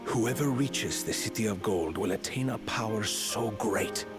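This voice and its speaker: A man playing a scheming, flattering retainer in a video game, ambitious and ruthless, with an oily, grandiose delivery. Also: lowish voice, measured pace, natural voice. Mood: quietly sinister. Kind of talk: video game cutscene acting. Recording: game music under the voice.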